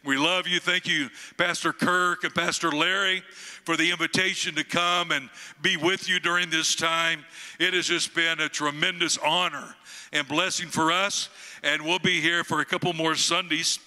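An older man in his sixties from the United States, a guest pastor with a booming voice, preaching and praying slowly into a handheld microphone on a church stage. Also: steady tone, bright, forceful tone. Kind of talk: sermon and prayer. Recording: long hall reverb, faint music behind.

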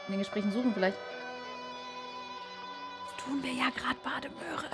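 A young adult woman streaming a let's play, translating the dialogue aloud in a halting, self-correcting way with casual asides. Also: high-pitched. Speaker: live streamer reading a game aloud. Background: game music.

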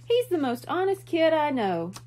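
A woman's voice: high-pitched, huge pitch swings, moderate pace, a little mellow, pitched above the performer's own voice. A woman voicing a kindly, cheerful female shopkeeper from a storybook, given to exclaiming. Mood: amused, assured. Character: friendly, kindly woman pet shop keeper.

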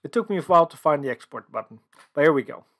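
A man's voice: on the high side, animated, fast, a bit bright. A man from the Netherlands with a Dutch accent, giving a technical conference presentation over slides in an explanatory way, with many filler sounds. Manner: explanatory, filler-heavy with frequent uhs. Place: voice-over for screen recording and slides.

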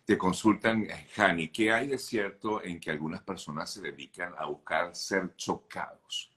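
Deep-voiced male interview host. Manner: steady, brisk, agreeable interjections. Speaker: host, journalist. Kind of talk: interview host reading viewer questions. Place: home office. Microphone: video call webcam.

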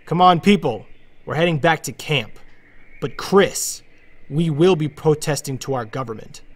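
A man's voice: on the high side, animated, a little slow. A man playing a righteous, uncompromising activist leader in an audio drama, formal and declamatory. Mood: resolute.

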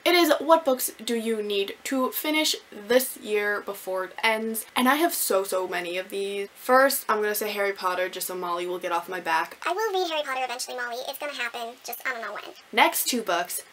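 A young woman chatting casually to camera as a book vlogger, animated, gushing and poking fun at herself. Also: medium pitch, brisk, slight background noise. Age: young adult. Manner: animated, gushing, self-mocking. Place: small room at home with bookshelves.

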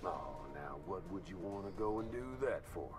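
A man's voice: low-pitched, animated, normal speed. A man playing a wry, folksy gunslinger mercenary in a video game, with a drawl. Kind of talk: video game character dialogue. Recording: distant, under loud music.

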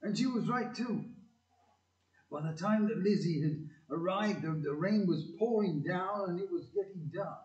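Man as a halting, higher-voiced male storyteller. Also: a little mellow, highs cut off. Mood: atmospheric. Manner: halting reading. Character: male storyteller setting an atmospheric night scene. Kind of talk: scripted narration. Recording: echoey room, distant microphone.

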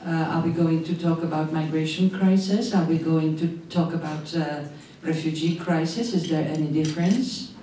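A woman's voice: sits low, fairly even intonation, dark tone, a little hiss or hum. A middle-aged woman with a Czech accent, a non-native English speaker, giving a panel talk into a handheld mic and often searching for words. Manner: slow, searching for words, apologetic. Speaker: panel speaker, migration expert. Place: conference room, seated at panel table. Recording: echoey room, distant sound.

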